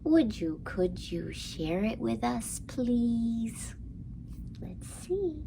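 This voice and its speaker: A woman's voice: on the high side, sing-song, very slow, creaky, background noise. A woman hosting an interactive storytime for young children, speaking in a sing-song way and putting questions to her listeners.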